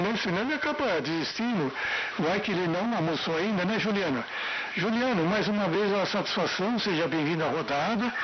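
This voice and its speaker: A Brazilian man hosting an amateur radio net, explaining things casually with frequent tag questions over a radio transmission. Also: high voice. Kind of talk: casual ham radio conversation. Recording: muffled, noisy AM ham radio transmission.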